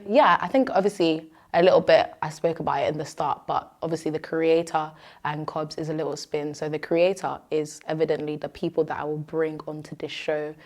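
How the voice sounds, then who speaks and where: A young woman with a British accent, chatting casually and expressively as she answers questions as a host and content creator. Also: sits low. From Nigerian-born, moved to Britain at three.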